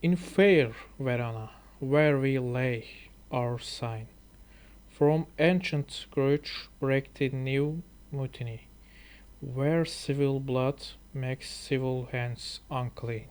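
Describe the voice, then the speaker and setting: Very slow, halting Turkish-accented man. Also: medium pitch, darkish tone. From Turkey. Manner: hesitant, many pauses and fillers, apologetic. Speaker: YouTuber learning English. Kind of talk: halting casual reading vlog.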